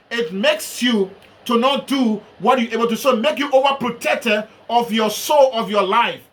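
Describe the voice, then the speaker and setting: A man from Liberia with a Liberian accent, delivering a slow, emphatic sermon full of Bible references. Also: very high voice, fairly bright tone. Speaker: preacher.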